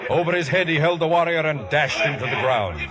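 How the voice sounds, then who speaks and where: An actor playing a stately biblical narrator in a 1950s radio drama. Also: male voice, on the high side, animated, fairly quick, a loud crowd, lightly acted. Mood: solemn. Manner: stately, archaic phrasing. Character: solemn biblical narrator telling ancient tale. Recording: old radio broadcast under loud music.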